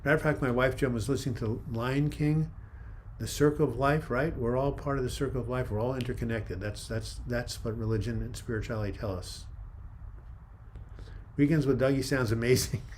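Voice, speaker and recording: Calm, soft-spoken older man. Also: mid-range voice, fairly quick, slight background noise. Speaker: livestream host. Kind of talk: casual live chat reading viewer comments.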